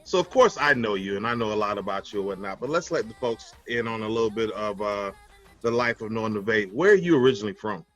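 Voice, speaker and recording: A man hosting a relaxed interview, chatting in a friendly, joking way with an old friend. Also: lowish voice, quick pace, soft background music. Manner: friendly, joking, asks warm follow-up questions. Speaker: host. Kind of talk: casual interview chat.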